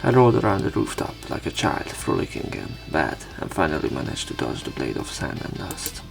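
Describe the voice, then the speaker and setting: Low, gravelly man narrating a visual novel. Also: very animated, fairly quick. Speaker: let's play host reading a visual novel aloud. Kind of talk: dramatic read-aloud narration with casual commentary. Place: voice-over on game footage. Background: faint game music.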